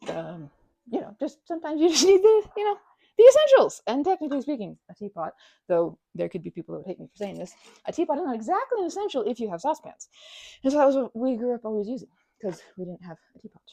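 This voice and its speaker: Woman, soft mellow tone, very animated pitch, playful best-friend roleplay chat, rambling, easily sidetracked. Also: fast, creaky. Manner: scattered, rambling, trailing off and changing course mid-thought; bubbly, playful, expressive. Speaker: ASMR roleplay performer. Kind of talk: ASMR roleplay, casual improvised chat with the listener as best friend.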